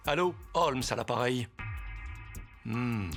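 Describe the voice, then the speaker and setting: A man's voice: mid-range voice, takes it slowly, fairly bright tone, soft background music. A man voicing a formal, earnest official in a French cartoon dub, polite and grave. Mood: grave, pressing. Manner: courteous, grave.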